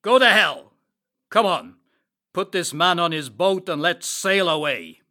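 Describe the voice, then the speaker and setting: A man putting on a snarling, bright-voiced brute of a ship captain. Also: on the high side, animated, a little slow, no echo, clean studio sound. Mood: furious. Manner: snarling, contemptuous. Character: brutal angry male ship captain.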